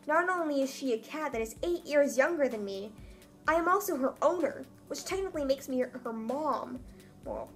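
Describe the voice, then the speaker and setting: A young woman chatting casually in a comedic vlog, with wry, self-mocking asides. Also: on the high side. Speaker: vlogger. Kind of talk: casual comedic vlog chat, reading own old writing.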